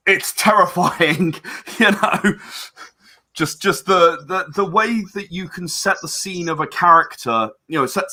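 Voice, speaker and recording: A man's voice: mid-range voice, sharp tone. A man speaking as an interview guest about his own creative project, enthusiastic, effusive and discursive in his explanations.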